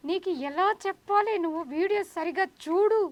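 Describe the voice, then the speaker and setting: A woman's voice: very high-pitched, a little fast, no echo, pitched above the performer's own voice. A woman voicing an exasperated older sister puppet, teasing and scolding in a children's puppet show.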